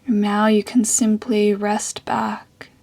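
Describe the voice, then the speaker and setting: Meditation guide, female, soft and breathy, very even pitch, unhurried with long pauses. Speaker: yoga and meditation teacher.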